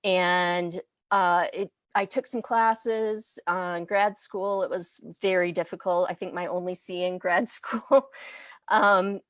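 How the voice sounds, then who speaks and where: A woman's voice: on the low side, steady tone, takes it slowly, fairly bright tone, dry recording, clean studio sound. A woman from the US Midwest with an American accent, chatting casually as an interview guest and language instructor. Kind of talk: casual interview chat about personal background.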